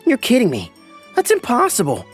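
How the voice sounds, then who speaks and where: A man's voice: very high-pitched, huge pitch swings, moderate pace, breathy edge, soft background music, heavily acted. A man playing a resentful, scheming office rival, whiny and flustered, in a manga dub. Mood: flustered, disbelieving.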